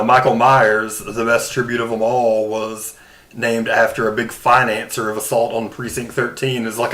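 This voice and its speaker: A man's voice: mid-range voice, sharp tone. A man on a podcast panel, chatting in a casual, joking style.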